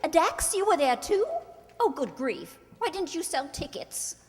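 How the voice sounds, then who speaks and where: A woman playing a flighty, high-strung society bride in a screwball radio comedy, theatrical and full of breathless exclamation. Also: animated, unhurried, faint music behind. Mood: flustered, exasperated. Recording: echoey hall stage recording.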